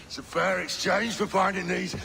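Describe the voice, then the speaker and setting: Gravelly, crazed pirate captain. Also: male voice, high-pitched, fairly even intonation, normal speed, sharp tone, a little hiss or hum, heavily acted. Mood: crazed, bitter. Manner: menacing, taunting, foul-mouthed. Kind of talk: video game cutscene acting. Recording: music behind the voice.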